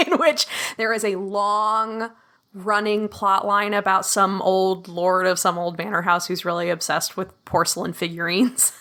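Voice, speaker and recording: A woman's voice: medium pitch, fairly even intonation, a little slow, a bit bright. A woman playing a solo tabletop mystery as a guest, chatting casually and laughing often. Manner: chatty, laughs often, slips into a posh in-character voice. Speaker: guest player. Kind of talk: casual play chat.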